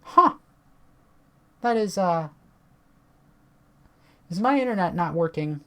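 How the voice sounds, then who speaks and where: A woman with a tired voice, chatting casually and swearing now and then as she reads on-screen text and walks through software at her computer. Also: on the low side, expressive, takes it slowly. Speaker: tech video creator.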